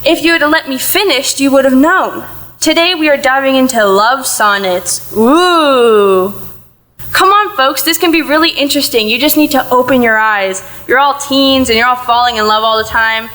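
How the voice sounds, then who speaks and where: A woman's voice: high-pitched, normal speed, pushed and bright. A woman acting in a school audio play as a theatrical, eager English teacher with a declamatory, chiding streak.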